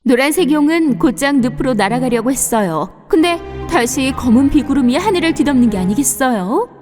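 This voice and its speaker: Female storyteller, high voice, expressive children's fairy tale narration, music underneath. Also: a little fast.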